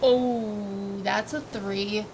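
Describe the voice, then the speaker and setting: A woman's voice: medium pitch, fairly even intonation, very slow. A woman chatting casually as a player in a tabletop roleplaying game, hesitant and thinking aloud. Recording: clear background noise.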